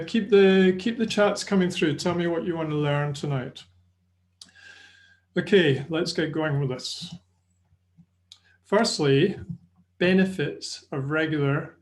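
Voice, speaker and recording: Chatty Scottish man giving a webinar. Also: on the high side. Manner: friendly, chatty, with frequent fillers and restarts. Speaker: webinar presenter. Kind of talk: live online lecture. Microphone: video call webcam.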